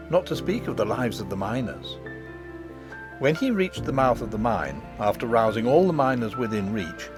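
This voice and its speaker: A man narrating an audiobook in an expressive, dramatic storytelling style. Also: medium pitch. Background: background music.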